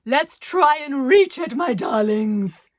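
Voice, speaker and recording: A woman voicing a flamboyant, excitable woman artist with a high, breathy, theatrical voice in a children's storybook reading. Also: unhurried, no echo, clean studio sound, heavily acted. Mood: anxious. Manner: overdramatic, calls friends pet names.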